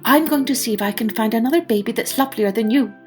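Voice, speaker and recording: A woman's voice: on the high side, fast, darkish tone, soft background music, close to an everyday voice. A woman voicing a devoted, proud mother crow, earnest and emphatic, in a children's storybook tale. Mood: determined.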